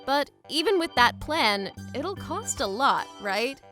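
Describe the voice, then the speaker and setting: Eager young female colleague, acted by a woman, high-pitched and very lively, expressive manga-cartoon delivery, easily exasperated. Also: slow pace, faint music behind, exaggerated voice. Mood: hesitant. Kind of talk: animated manga voice acting and narration.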